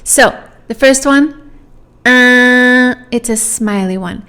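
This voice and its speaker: A woman's voice: on the high side, slow, pushed and bright, smooth. A native French-speaking woman tutoring pronunciation, drilling sounds one at a time and checking in with "okay?". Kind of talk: pronunciation lesson.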